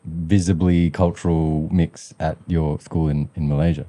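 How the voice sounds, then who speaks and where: A man's voice: a bass voice, flat delivery, unhurried, mellow, no echo. A man hosting a casual interview, asking questions and giving short attentive replies. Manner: attentive, frequent short backchannels. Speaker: podcast host.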